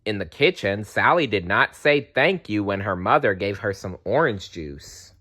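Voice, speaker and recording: A man's voice: on the low side, expressive, normal speed, pushed and bright. A man reading a children's storybook aloud expressively. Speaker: storybook reader.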